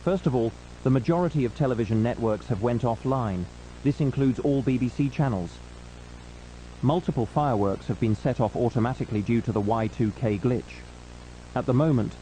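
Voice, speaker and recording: Mellow-voiced man reading a formal emergency announcement. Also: mid-range voice, slight background noise, low-quality recording. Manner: formal, solemn. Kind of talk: scripted emergency news announcement.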